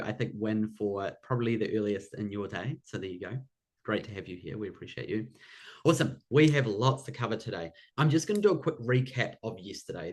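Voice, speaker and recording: Male workshop host, New Zealand accent, lower-pitched voice, encouraging coach who often checks for agreement. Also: darkish tone. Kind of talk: live online workshop presentation.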